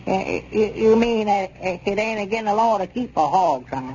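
High-voiced rustic Southern storekeeper. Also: male voice, animated, normal speed, a little mellow. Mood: exasperated. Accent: rural Southern US. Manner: rustic, drawling folksy talk. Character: folksy, excitable rural Arkansas storekeeper and town lawman. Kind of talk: 1930s radio comedy serial acting. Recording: dull, noisy old 1930s radio recording.

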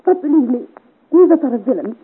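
A woman's voice: sits high, sing-song, quick pace, husky, full character voice. A woman acting a secretive, troubled young Parisian woman, earnest and dramatic, in 1950s radio serial style. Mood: anguished, pleading. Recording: narrow-band, hissy 1950s radio recording.

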